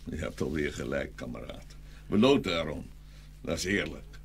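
A man's voice: a bass voice, animated, normal speed, creaky, husky, exaggerated voice. A man playing a grand, good-natured, sleepy tsar in a dramatised fairy tale, with theatrical exclamations. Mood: weary, agreeable.

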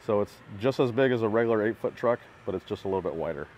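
Lower-voiced man touring a work truck outdoors. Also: lively intonation, fast talker, a little mellow, dull audio, slight background noise. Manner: plainspoken, matter-of-fact, pointing things out. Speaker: equipment builder giving a walkaround. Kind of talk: casual product walkthrough. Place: outdoors by a truck in a parking lot.

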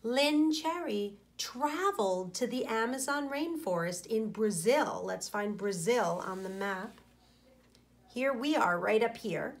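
A woman in her forties, a teacher reading aloud to children and explaining, with deliberate, pausing phrasing. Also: a little high, animated.

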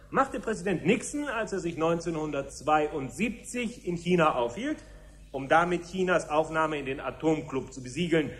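An actor playing a brisk, formal official who explains things methodically in a 1970s German radio drama. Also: male voice, a little high, lightly acted. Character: brisk, formal male official briefing on nuclear strategy.

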